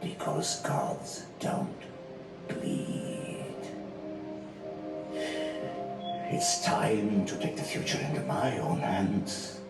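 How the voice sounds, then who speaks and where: An actor playing a scheming, silky high priest in an animated film, deliberate and mock-polite with theatrical pauses. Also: male voice, echoey room, far from the mic, over loud music. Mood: sinister resolve.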